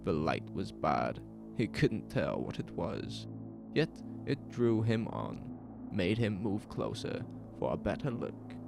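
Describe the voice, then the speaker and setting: Gravelly guy reading horror story, slow build suspense, lots of up and down, music under it. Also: sits low, very animated. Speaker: narrator. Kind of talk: scripted horror audio drama narration. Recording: music behind the voice.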